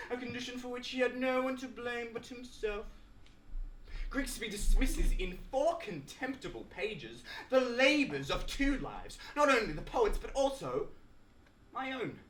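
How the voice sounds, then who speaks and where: A pompous, aggrieved Australian lecturer played by a teenage male student actor declaims in florid, oratorical style. The voice is bright and forceful. It rings in a roomy, echoing stage space, as in a theatrical monologue. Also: sits high. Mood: bitter, accusing. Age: teens. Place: indoor school drama showcase, filmed performance. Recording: roomy echoing stage, faint noise, clicks.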